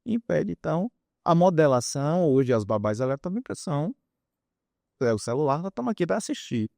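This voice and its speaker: A man's voice: fairly high pitch, very animated, brisk, gravelly, darkish tone, dry recording, studio quality. A man, explaining his opinions in an interview with examples and repeated words for emphasis. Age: 50s. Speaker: guest psychologist and author.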